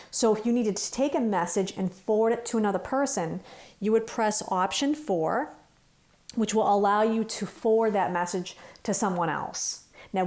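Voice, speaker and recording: A woman's voice: mid-range voice, darkish tone. A woman working as a trainer, talking through instructions step by step in an informal, conversational way. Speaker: phone system trainer.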